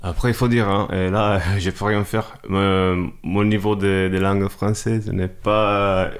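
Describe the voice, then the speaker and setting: A man with an Italian accent and a very deep voice talks as a guest in a casual interview. He searches for his words and restarts often, working his ideas out thoughtfully. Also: little pitch movement, measured pace. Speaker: musician guest.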